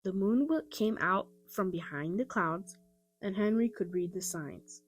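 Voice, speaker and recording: A woman narrating a children's audiobook in an expressive storytelling style. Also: mid-range voice, measured pace, soft background music. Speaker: storybook reader.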